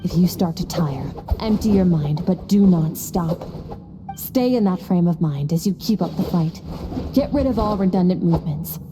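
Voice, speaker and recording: A woman playing a stern, aloof master swordswoman in a video game, lecturing in a cool, measured, terse manner. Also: lowish voice, animated, big-room sound, background noise, natural voice. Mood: calm, exacting. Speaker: sword instructor. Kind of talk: scripted video game voice acting.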